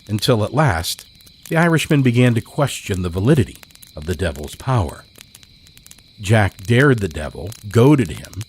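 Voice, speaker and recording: Low-voiced man narrating horror tales. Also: a little hiss or hum. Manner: measured, dramatic storytelling with lively pitch. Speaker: podcast host and narrator.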